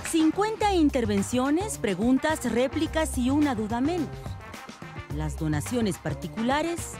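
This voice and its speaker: A female news reporter narrating a report in a lively, fairly high voice. Her delivery is measured and crisp. Music plays clearly behind her. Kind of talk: scripted news voice-over.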